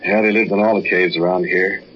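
A man's voice: low-pitched, steady tone, fairly quick. An actor playing a curious, cautious young man, musing casually in a 1940s radio drama. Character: curious, cautious young male travelling companion and storyteller. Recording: muffled, noisy 1940s radio recording.

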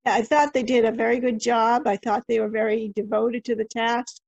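A woman's voice: a little high, level tone, a little fast, vocal fry, a little mellow, no echo. A woman hosting an interview, asking questions and commenting in a conversational way, on a dull, lo-fi recording. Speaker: interviewer, host.

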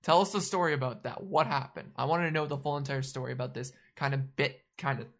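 Woman with a really low voice, stream host, teasing and pushy, keeps talking to chat. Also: fairly even intonation, fast talker. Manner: teasing, pushes for answers, talks to chat. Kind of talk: casual interview chat.

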